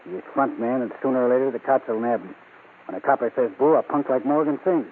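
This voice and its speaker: An actor playing a ruthless, calculating gang boss in an American old-time radio crime drama, tough and slangy. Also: male voice, medium pitch, quick pace, close to an everyday voice. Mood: cold, scheming. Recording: noisy, tinny old radio recording.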